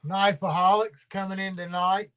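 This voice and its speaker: A man's voice: sits high, level tone, moderate pace, fairly bright tone, clean tone. A man hosting a casual livestream, rambling and drawling, his voice trailing off into mumbles. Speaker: livestream host.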